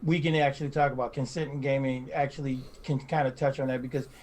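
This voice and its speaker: A man's voice: mid-range voice, level tone, fast talker, a little mellow, slight background noise. A man in his fifties or older talking emphatically in a casual discussion, repeating phrases for stress. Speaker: podcast panelist.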